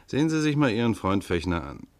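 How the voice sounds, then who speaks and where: A commanding police commissioner from a 1950s German radio crime play, with a very deep voice. He speaks in a matter-of-fact way, as one used to giving orders. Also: very animated, a little fast. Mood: confiding, grave. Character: authoritative German police commissioner leading a murder inquiry.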